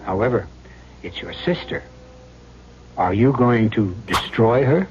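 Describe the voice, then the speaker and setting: A man's voice: mid-range voice, expressive, a little slow, slight background noise, close to an everyday voice. A man performing a grave, probing narrator who addresses the listener in a radio drama introduction. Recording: dull old radio recording. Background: music.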